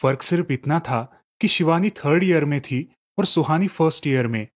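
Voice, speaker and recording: A man narrating a cartoon story with a steady storytelling delivery. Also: a little high, moderate pace, slightly rough.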